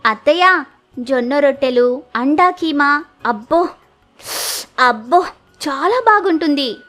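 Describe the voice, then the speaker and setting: A young daughter-in-law in a village tale, played by a woman narrator, high-pitched and polite. She coaxes sweetly and innocently, eager to please, over background music. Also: animated, slow, fairly bright tone, heavily acted. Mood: proud, coaxing. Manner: polite, cheerful coaxing. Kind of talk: animated village moral story acting.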